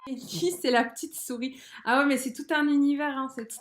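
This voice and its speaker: High-voiced young woman, slangy. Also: normal speed, background noise. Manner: slangy, expressive, amused, spells out internet abbreviations. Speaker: livestream host reacting to a cartoon. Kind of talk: casual reaction chat.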